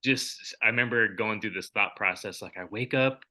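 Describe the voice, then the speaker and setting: A man's voice: on the low side, normal speed, pushed and bright. A young adult man co-hosting a podcast, talking in a reflective, rambling way with many fillers. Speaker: podcast co-host. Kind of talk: casual chat.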